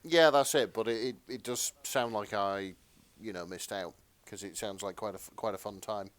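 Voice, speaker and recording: A man's voice: lowish voice, normal speed, no echo. A man co-hosting a podcast in casual chat, a little hesitant and repeating words as he goes. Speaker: podcast co-host.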